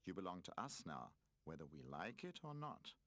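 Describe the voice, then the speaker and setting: A narrator voicing a gentle, caring cushion toy who keeps the peace. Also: big pitch swings, normal speed, no echo. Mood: calm. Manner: soothing, lower.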